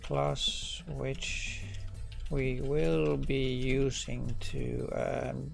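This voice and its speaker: A middle-aged Dutch man with a Dutch accent, live-coding on a stream and thinking aloud, relaxed and halting with many fillers and restarts. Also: medium pitch, creaky, soft background music. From Netherlands.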